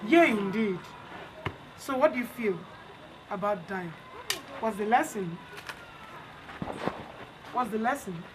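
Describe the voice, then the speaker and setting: A woman's voice: medium pitch, animated, slow, long pauses. A woman telling a story to children and repeating its lessons emphatically, like a teacher. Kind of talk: bedtime storytelling and question-and-answer with children. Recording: clear background noise.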